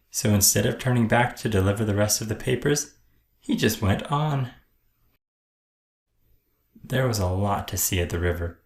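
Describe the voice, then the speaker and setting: Male children's book narrator: lowish creaky voice, expressive and deliberate, recorded in an echoey room. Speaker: storyteller reading a picture book aloud. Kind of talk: children's read-aloud narration.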